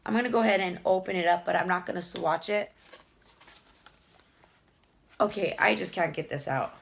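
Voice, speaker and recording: A woman beauty vlogger chatting casually through an unboxing, hoarse from a lingering cough, rambling fondly about each item. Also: fairly low pitch, moderate pace, slight background noise. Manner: chatty, fond, rambling product talk with fillers. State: hoarse, losing her voice from a cough.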